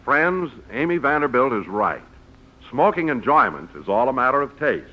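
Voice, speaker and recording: A man's voice: mid-range voice, very animated, normal speed, a little hiss or hum. A man reading scripted 1950s radio advertising and announcements. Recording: dull old radio recording.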